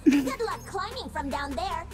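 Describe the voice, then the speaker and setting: A bubbly young video game heroine who teases and taunts. Her cutesy voice is extremely high and swings playfully, with music underneath. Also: animated, normal speed. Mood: playful taunting. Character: bubbly, taunting young woman gamer hero.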